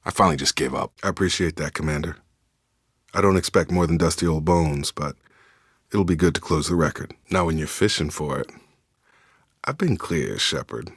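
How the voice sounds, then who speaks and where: A man playing a young, steady, principled soldier in a sci-fi video game, speaking in direct, clipped military phrases. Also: extremely low pitch, normal speed, husky, no echo, studio quality, heavily acted. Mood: measured, matter-of-fact.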